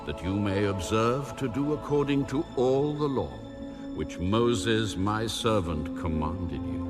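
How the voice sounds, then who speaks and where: An actor playing God in a dramatised audio Bible, speaking with solemn, weighty, commanding reassurance. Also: male voice, on the low side, expressive. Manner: slow, measured, weighty delivery. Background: new-age music.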